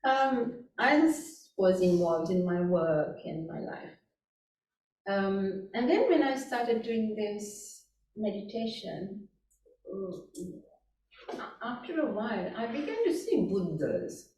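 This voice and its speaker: A woman from Sri Lanka, a meditation teacher, gently answering audience questions at a workshop. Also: mid-range voice, very animated, a little hiss or hum. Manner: gentle, unhurried, with frequent "you know" and "right?". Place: community meeting room. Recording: echoey room, picked up from a distance.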